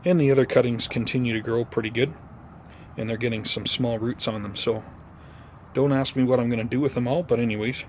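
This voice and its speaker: A man hosting a casual gardening vlog outdoors, talking informally to viewers in an unhurried, hesitant way. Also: medium pitch, fairly even intonation, darkish tone, a little hiss or hum. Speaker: host of a bonsai gardening vlog.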